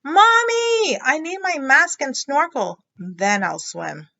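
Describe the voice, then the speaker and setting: A woman voicing a young male frog child from a storybook, whiny, nervous and excitable, spluttering out protests. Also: high voice, takes it slowly, creaky, muffled recording, pitched above the performer's own voice, heavily acted. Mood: panicked, gasping. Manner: whining, spluttering and gasping protests.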